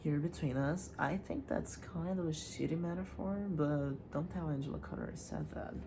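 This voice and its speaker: A woman's voice: deep voice, moderate pace, gravelly, background noise. A woman hosting a casual, chatty literature analysis, informal and playful with asides to the viewer. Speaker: host of a literature video series.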